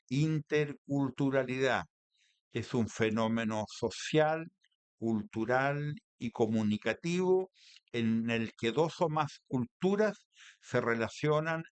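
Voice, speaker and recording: A man with a Chilean accent hosting a radio interview in measured, deliberate phrases. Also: mid-range voice, smooth, no echo. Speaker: radio host. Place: radio studio.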